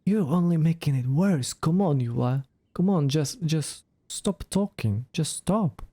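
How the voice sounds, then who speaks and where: A woman's voice: low voice, animated, a little slow, warm tone, slightly rough. A woman giving casual let's play commentary on a game, with dry, wry asides.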